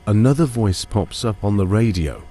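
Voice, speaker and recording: A man's voice: lowish voice, animated, normal speed, warm tone. A man narrating a first-person dramatised audiobook, also voicing its lead character.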